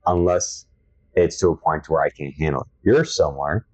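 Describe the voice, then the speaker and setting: Deep-voiced young man, casual horror chat. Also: a little fast, darkish tone, breathy edge, no echo. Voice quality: low voice. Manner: enthusiastic, self-described horror fanatic, rambling. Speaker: podcast co-host. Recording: dry, dull lo-fi sound.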